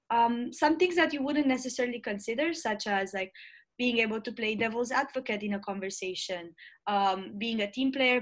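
A young woman from Romania in her early twenties, speaking in an interview over a video call with earbuds, thoughtful and full of ums and restarts. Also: medium pitch. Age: young adult. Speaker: interview guest, student leader. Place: bright room at home.

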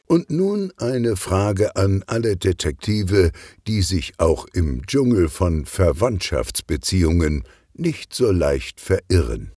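A man narrating a children's detective audiobook in an expressive storytelling style. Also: low-pitched, slow, few pauses, husky, dry recording, clean studio sound, soft background music.

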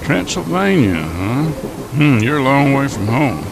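A man's voice: very animated, unhurried, creaky. A man with a folksy, hokey manner, hosting an old time radio skit as a train conductor. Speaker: radio show host playing a train conductor. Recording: noisy radio skit with rumbling train ambience.

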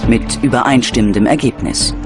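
A man narrating a scripted true-crime documentary in a measured, dramatic way. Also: medium pitch, over music.

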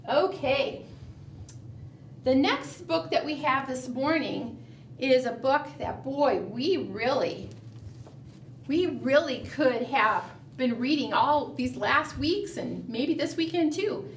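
A middle-aged woman leading a preschool storytime, animated and sing-song, coaxing small children with questions. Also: high voice, slow pace. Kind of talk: storytime read-aloud and chat addressed to small children. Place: small indoor room, seated at a table. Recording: roomy, distant mic, faint room noise.

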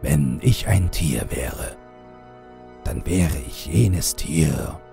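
Male narrator as gentle father in a horror tale, very deep, slow breathy hush. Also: expressive, faint music behind, full character voice. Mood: tender, solemn. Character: bearded father speaking tenderly to his little daughter.

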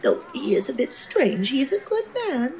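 A woman's voice: sits high, sing-song, normal speed, darkish tone, rough recording. A woman playing a fussy, kindly, motherly older office columnist in an audio drama, doting on everyone around her. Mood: chatty, doting. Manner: fussy, doting, says "dearie".

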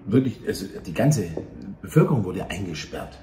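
A man in his fifties talking earnestly and haltingly in a casual interview. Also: low voice, very animated, warm tone. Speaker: theatre operator, interview guest. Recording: echoey room, faint ambient noise.

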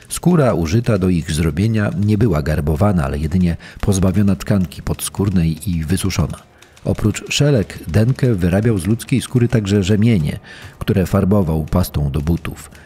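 An actor playing a sober forensic investigator, formally reading out a report for a documentary. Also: male voice, low voice, dark tone, husky, heavily acted. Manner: formal, clinical.

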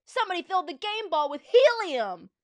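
A woman voicing an indignant football fan in a storybook, exclaiming loudly from the bleachers. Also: high voice, very animated, normal speed, pitched above the performer's own voice, heavily acted. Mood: indignant, puzzled. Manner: loud, exclaiming.

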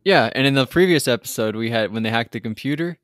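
A man's voice: medium pitch, expressive, fast talker, fairly bright tone, dry recording, studio quality. A man co-hosting a podcast, casually recapping a TV episode in a rambling way with many fillers and restarts. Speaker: podcast co-host.

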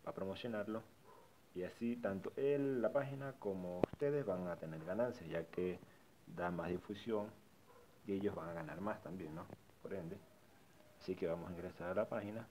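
A man's voice: low voice, moderate pace, warm tone, slight background noise, poor audio. A man casually narrating a screen-recorded walkthrough of a website, often repeating and restarting his phrases. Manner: repetitive, restarts phrases while pointing things out. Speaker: tutorial vlogger.

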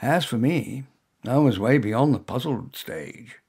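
A man reading his own short story aloud as first-person narration in a wry, expressive manner. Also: mid-range voice, takes it slowly, creaky, slightly rough.

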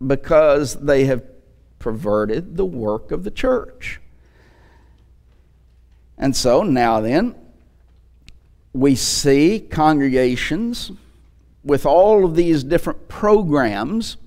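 An older man in his sixties preaches from a church pulpit. His voice sits high for a man and he takes his time, speaking slowly. He drives points home with rhetorical questions and emphatic repetition. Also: expressive, darkish tone. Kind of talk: sermon. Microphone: headset microphone.

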